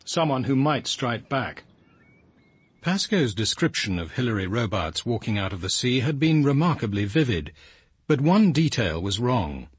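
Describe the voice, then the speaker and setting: A stern, reserved British detective in a radio mystery. His voice is husky with a widely moving pitch, and his delivery is dry, measured and clipped. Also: big pitch swings, no room sound. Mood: probing. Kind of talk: radio drama acting and first-person narration.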